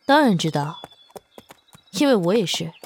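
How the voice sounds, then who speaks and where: A woman's voice: lowish voice, sing-song, a little slow, slight background noise. A woman playing a loyal bodyguard disguised as a man, composed and earnest with a regretful streak, in a period audio drama. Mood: frank, steady.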